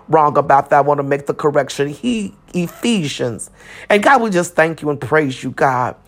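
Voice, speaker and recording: Fervent prayer leader with a mid-range voice. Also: medium pitch. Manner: repetitive, exclamatory, punctuated with praise interjections.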